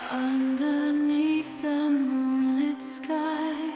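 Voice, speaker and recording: A woman's voice: high voice, level tone, drawn-out words. A woman delivering an eerie rhymed theme for a horror show. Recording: echoey, over prominent music.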